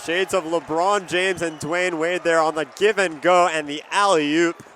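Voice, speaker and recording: Young male basketball analyst, slightly high voice. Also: fairly even intonation, measured pace, fairly bright tone, some chatter behind, a little hiss or hum. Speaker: colour commentator on basketball broadcast. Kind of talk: live sports analysis. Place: courtside in a basketball arena.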